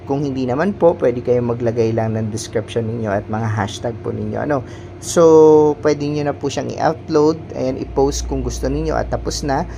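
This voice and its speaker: Guy doing a polite how-to for an app, average pitched voice, noisy background. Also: moderate pace, warm tone. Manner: polite, instructional, repeats verbal tags. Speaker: tutorial host. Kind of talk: step-by-step app tutorial, informal. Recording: clearly noisy background hum.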